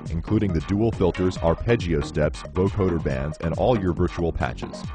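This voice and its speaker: Male product demo narrator, low register, polished and instructional scripted read, clear music bed underneath. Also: moderate pace. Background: synthesizer music.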